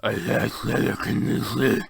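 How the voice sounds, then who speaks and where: A man voicing a cruel, pompous dark wizard villain with a gravelly, raspy, drawn-out delivery. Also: medium pitch, level tone, no room sound, studio quality, heavily acted. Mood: cruel menace. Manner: slow, drawn-out, menacing.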